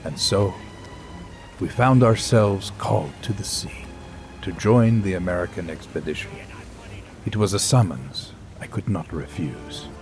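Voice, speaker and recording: An actor playing a grave, brooding naturalist, narrating an audio drama in solemn, measured phrases with portentous pauses. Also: male voice, low-pitched, a little mellow, faint music behind. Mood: wondering, resolute.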